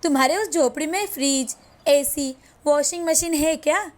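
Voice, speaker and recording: A woman's voice: sits high, normal speed, natural voice. A woman voicing a haughty, sneering rich wife and mother from a moral story, condescending and mocking.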